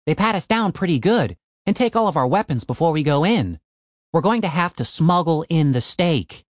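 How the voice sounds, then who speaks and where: A determined young man from a storybook, as a male narrator plays him. He sounds earnest and insistent about his schemes. The pitch moves up and down a lot, in a dry, close recording. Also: sing-song, normal speed, dry recording. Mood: matter-of-fact.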